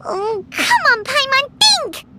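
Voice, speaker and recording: A woman voicing a small, emotional fairy companion in a video game, childlike and squeaky, exclaiming and pleading. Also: sing-song, slow, very noisy, exaggerated voice. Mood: panicked. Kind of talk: video game character acting. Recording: loud music under the voice. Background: loud game music.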